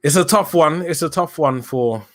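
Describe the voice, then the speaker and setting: A young man in his early twenties, a podcast host, calmly building his case in a casual football chat. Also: a little high, normal speed. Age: young adult. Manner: thoughtful, builds an argument with hesitations.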